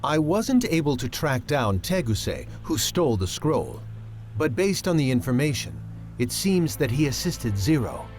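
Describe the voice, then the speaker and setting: A highish, animated young male ninja, brainy and formal, reasoning things out deliberately. Anime game dialogue over soft music. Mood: certain, analytical. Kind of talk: anime video game cutscene acting.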